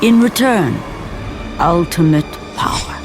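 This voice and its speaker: An older woman playing a wise, solemn storyteller, narrating a mythic video game gravely and portentously. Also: lowish voice, wildly swooping pitch, slow, heavily acted. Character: solemn, wise female storyteller of ancient myth. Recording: loud music behind voice. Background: music.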